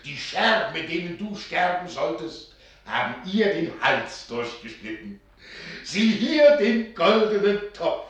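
An actor voicing a solemn fire spirit in the form of an old archivist, speaking slowly and gravely. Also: male voice, high voice, very animated, a bit bright, exaggerated voice. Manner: solemn, measured. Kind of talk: radio play acting. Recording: distant, echoing, with music.

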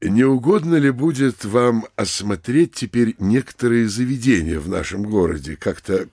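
A man playing a wily, servile middle-aged town mayor in a theatrical comedy audio drama, with a gravelly, unctuous delivery. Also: mid-range voice, animated, normal speed, a little mellow, no room sound, clean studio sound. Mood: fawning, eager. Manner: obsequious, unctuous and courtly. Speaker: actor.

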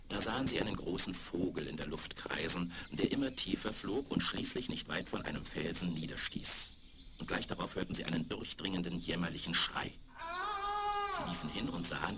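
A man reading a scripted fairy tale for children as the narrator of a radio play. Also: deep voice. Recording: crackly, very noisy 1970s vinyl record.

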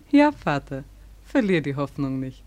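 An actress playing a young, disillusioned daughter in a German radio drama, detached and cynical in manner. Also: female voice, low voice, big pitch swings, takes it slowly, a little mellow, full character voice. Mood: gently indulgent. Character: young daughter, disillusioned, coolly wise, lonely.

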